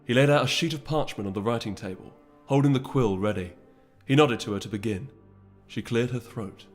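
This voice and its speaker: A man narrating a scripted story in a measured, dramatic manner. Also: medium pitch, soft background music. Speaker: audiobook narrator.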